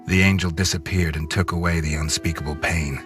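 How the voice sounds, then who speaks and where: A man playing a brooding vampire who narrates a noir video game in a terse, grim, deadpan way. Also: deep voice, brisk, music in the background, close to an everyday voice. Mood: bleak. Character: brooding gravel-deep male vampire, hardboiled noir narrator. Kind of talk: scripted first-person noir game narration.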